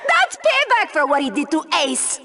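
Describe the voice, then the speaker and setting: Video game co-commentator, female: sadistic, melodramatic, high voice that swoops widely, savoring the carnage. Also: heavy background noise, exaggerated voice. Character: bloodthirsty, gleeful female sci-fi arena show co-host.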